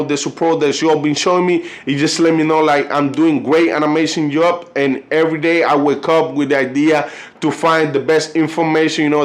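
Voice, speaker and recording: A man from Colombia with a Colombian Spanish accent, a native Spanish speaker talking casually to camera as a vlogger, rambling with lots of fillers and stumbling when he reads aloud. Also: a little high. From Cartagena, Colombia. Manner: loose, rambling, constant "you know" and "like" fillers; halting when reading aloud. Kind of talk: casual video chat.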